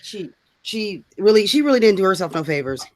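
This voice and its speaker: A woman's voice: medium pitch, sing-song, brisk, darkish tone. A woman co-host ranting casually about politics, scornful and emphatic, swearing freely. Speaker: talk show co-host.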